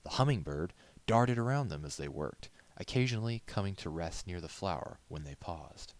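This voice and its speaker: A man reading a story aloud as a narrator, in scripted storybook style. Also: sits low.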